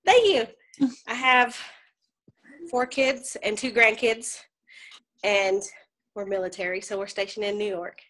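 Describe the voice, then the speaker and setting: A woman's voice: medium pitch, unhurried, long pauses, a bit bright. A woman, chattily introducing herself on a group video call. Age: 30s. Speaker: new consultant.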